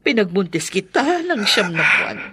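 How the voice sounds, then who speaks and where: A woman's voice: medium pitch, sing-song, moderate pace, sharp tone, slight background noise. An actress playing a devout, protective mother in a horror radio drama, speaking earnestly and consolingly.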